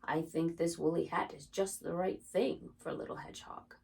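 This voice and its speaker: A woman's voice: fairly low pitch, fairly even intonation, moderate pace, creaky, a little mellow, slightly rough, voice pushed down. A woman voicing a puzzled, hard-of-hearing male badger in a children's storybook, questioning and emphatic. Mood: thoughtful.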